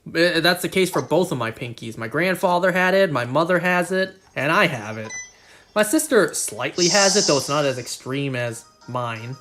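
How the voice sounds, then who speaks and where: A slightly high-voiced man chats loosely on a live game stream. He rambles from one aside to the next and jokes at his own expense, with soft music playing underneath. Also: expressive, normal speed. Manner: rambling, self-deprecating, joking. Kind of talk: casual live-stream chat.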